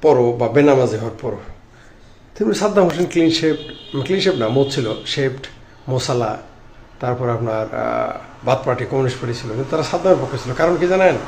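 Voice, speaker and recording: A middle-aged man with a Bangladeshi accent talks politics informally to the camera. His mid-pitched voice moves in short, halting phrases. Some noisy ambience sits behind him. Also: expressive, darkish tone. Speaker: political commentator. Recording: clearly noisy background ambience.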